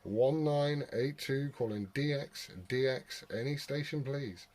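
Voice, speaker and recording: A man's voice: mid-range voice, unhurried, darkish tone. A British man talking in an informal, sweary, rambling way, switching between casual chat and CB radio calls. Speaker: CB radio operator and vlogger.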